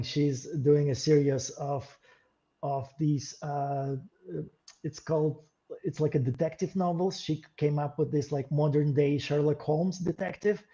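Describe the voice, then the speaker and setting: A man from Western Ukraine, talking in a halting, hesitant way with many fillers, in a casual livestream chat. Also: fairly high pitch, dark tone, dull audio. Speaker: co-host.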